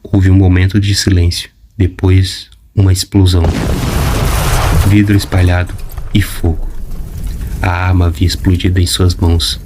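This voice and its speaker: A man giving scripted audiobook narration in an even, measured way. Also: deep voice, background noise.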